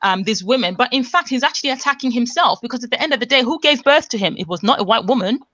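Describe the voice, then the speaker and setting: Bright-voiced woman, earnest. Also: on the high side, animated, quick pace, no echo, muffled recording. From born in Zambia, raised in the United Kingdom. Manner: earnest, reflective, builds points at length. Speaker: panel guest on a live stream. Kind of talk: casual discussion.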